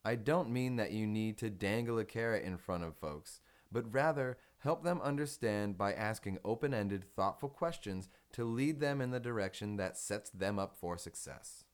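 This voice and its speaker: A man reading a business article aloud as scripted narration, in a measured, instructional manner. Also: lowish voice, lively intonation, smooth.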